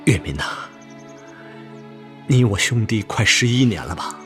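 An actor playing a gravely ill, resigned army man in a radio drama, speaking haltingly and weakly. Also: on the low side, animated, faint music behind. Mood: earnest, pleading. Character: gravely ill older army friend, weary, resigned, earnest. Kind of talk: broadcast radio drama acting.

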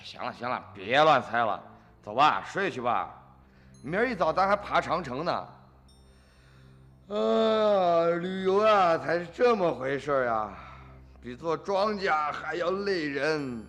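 An actor playing a brash, dismissive middle-aged farmer's son in a radio drama, blustering and scoffing in colloquial speech. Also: male voice, fairly high pitch, big pitch swings, a little slow, a bit bright, soft background music. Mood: weary, brushing off.

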